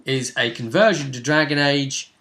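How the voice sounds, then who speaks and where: A man's voice: medium pitch, normal speed, bright, forceful tone. A man acting as game master, casually recapping a tabletop session in a storytelling way.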